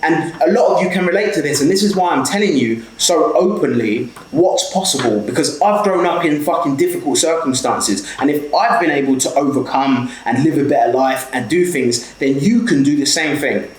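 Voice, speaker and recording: A man's voice: on the high side, expressive, normal speed, hardly any pauses, echoey room, slight background noise, low-quality recording. A man in his twenties with a South London accent, giving a blunt, sweary motivational talk straight to camera. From South London, England. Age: 20s. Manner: blunt, heavily sweary, repeats "okay?". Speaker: small business owner and mentor.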